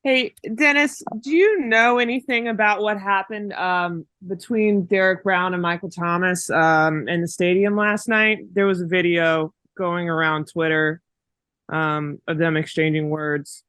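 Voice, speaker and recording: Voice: mid-range voice, steady tone, unhurried, pushed and bright, clean tone, dry recording. A sports reporter asking questions in a casual way on a press call.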